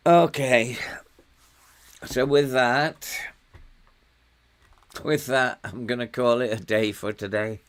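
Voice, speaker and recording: A slow, unhurried older man from England talks through a football management game, half to himself. His voice is hoarse and worn, close to giving out, and his pitch sits in the ordinary middle range for a man. He mutters his reactions as the match plays out. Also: steady tone. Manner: muttering, talking to himself while playing. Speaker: gaming YouTuber. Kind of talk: casual gameplay commentary.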